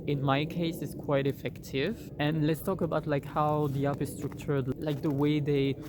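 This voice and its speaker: A young man with a French accent rambles through an app review, stacking fillers and restarting his sentences. His voice sits slightly high for a man. He records outdoors on his phone, with a faint hiss behind him. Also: level tone, moderate pace. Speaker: vlogger. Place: outdoors in cold weather. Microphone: phone held by the speaker.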